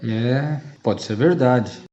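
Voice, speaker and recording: A man's voice: medium pitch, very slow, mellow. A man with a Brazilian accent playing a blunt, slangy middle-aged friend in a radio drama, in an echoey, muffled recording. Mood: surprised, curious. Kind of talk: radio drama acting, casual bar chat. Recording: echoey, dull muffled room sound.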